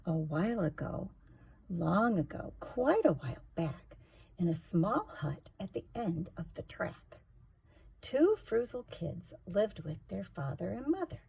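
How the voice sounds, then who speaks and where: Lady reading a kids rhyming book out loud, really slow, gravelly voice, expressive. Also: lowish voice. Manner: expressive, rhythmic rhyming delivery. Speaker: storytime host, reader. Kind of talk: children's picture book read-aloud.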